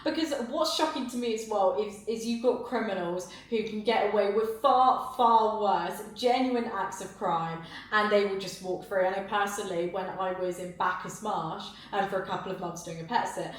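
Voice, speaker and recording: A woman's voice: mid-range voice, normal speed. A woman interviewing, sympathetic and affirming as she asks her questions. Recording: echoey room, far from mic.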